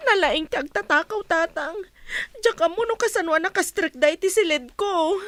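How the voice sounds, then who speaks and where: A woman's voice: extremely high pitch, normal speed, a bit bright, no room sound. A woman acting a blunt, gossipy storekeeper in an audio drama, with a direct manner and a Filipino Ilocano accent. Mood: upset.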